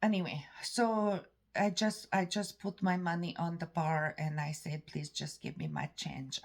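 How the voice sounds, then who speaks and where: A woman from Canada with an Eastern European-sounding accent, telling a story in a rambling, halting way with many restarts. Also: low-pitched, gravelly. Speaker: vlogger. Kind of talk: casual storytelling monologue.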